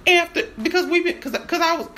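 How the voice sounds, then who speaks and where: A woman performing an improvised stage-play monologue, halting and stammering as she repeats her phrases. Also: very high-pitched, fairly even intonation, fairly bright tone, slight background noise.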